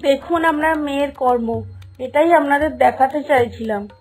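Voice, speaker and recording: A woman playing a stern, scheming teacher's wife in an animated story, speaking reproachfully. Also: high-pitched, normal speed, over loud music, natural voice. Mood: accusing.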